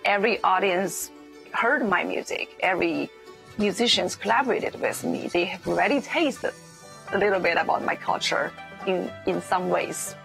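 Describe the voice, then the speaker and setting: Woman, Chinese accent, low gravelly voice, measured interview answers over music. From China. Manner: thoughtful, pausing between phrases. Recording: soft music underneath.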